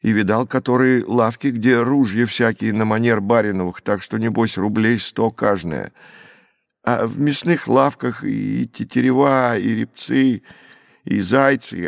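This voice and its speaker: Guy doing a poor little village orphan boy, naive and pleading, country way of talking, bit creaky, storybook reading. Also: mid-range voice, measured pace, no room sound, studio quality. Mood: earnest, naive. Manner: plaintive, rustic folk speech.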